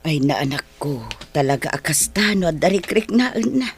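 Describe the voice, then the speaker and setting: A woman's voice: sits low, sing-song, normal speed, slight background noise. A woman playing a worried, long-suffering older wife and mother in a radio drama, coaxing, with a Filipino accent. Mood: worried, weary. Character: patient, worried wife and mother of ailing old man. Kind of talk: Ilocano radio drama acting.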